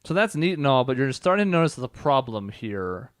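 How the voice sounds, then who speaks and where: A man's voice: medium pitch, sing-song, fairly quick, clean tone, dry recording, clean studio sound. A man giving casual, explanatory video game commentary in a conversational way as a let's play host.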